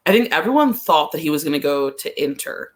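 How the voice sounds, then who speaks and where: A podcast guest giving casual soccer commentary at a middling pitch. The delivery is conversational and a little hesitant, working thoughts out aloud with plenty of "like" along the way. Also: sing-song, fairly quick. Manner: hesitant, thinking aloud, frequent use of "like".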